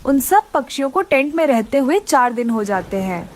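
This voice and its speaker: High-pitched woman story narrator. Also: expressive, moderate pace. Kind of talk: scripted children's story narration.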